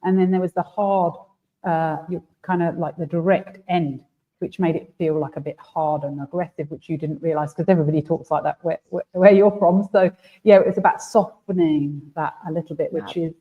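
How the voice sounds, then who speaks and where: A woman's voice: on the low side, fairly even intonation, fairly quick. A woman hosting a casual interview, chatty and full of fillers, heard over a video call. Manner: chatty, warm, many fillers and restarts. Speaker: host and trainer. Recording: tinny phone-like line.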